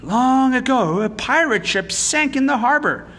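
A man's voice: very high-pitched, animated, unhurried, a bit bright, big-room sound, voice pushed up, exaggerated voice. A man voicing a curious, eager woman librarian in a storybook reading. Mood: dramatic, earnest.

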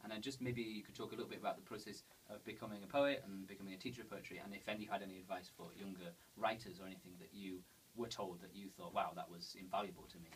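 A man's voice: lowish voice, fairly even intonation, rapid-fire, few pauses. A man in his thirties hosting an informal interview, introducing and asking questions in tentative, roundabout phrasing. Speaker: interviewer and host. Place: indoors in a bookshop, seated. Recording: distant, hissy camera recording.

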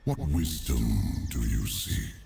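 An ancient wild spirit from a fantasy game, imposing and grave. The voice is extremely low and rumbles with gravel, delivered very slowly and formally. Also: male voice, animated, heavily acted. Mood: solemn. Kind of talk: video game character acting. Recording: noisy game audio with echo.

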